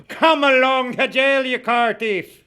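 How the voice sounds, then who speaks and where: A man acting in punchy 1940s radio style, as a blustering cop or a pitchman. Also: slow pace, sharp tone, clear, resonant tone, exaggerated voice. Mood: gruff, commanding.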